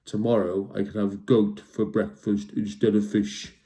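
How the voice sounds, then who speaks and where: A man voicing a simple, hungry male troll in a children's storybook reading, eager and gently timid. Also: on the low side, level tone, measured pace, pitched below the performer's own voice. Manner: small nervous voice when scared.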